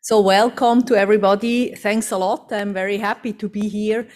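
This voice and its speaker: A woman's voice: mid-range voice, steady tone, normal speed, darkish tone. Swiss-accented woman giving a talk. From Switzerland. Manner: fluent non-native English with fillers, upbeat. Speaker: CEO presenting. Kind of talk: conference presentation.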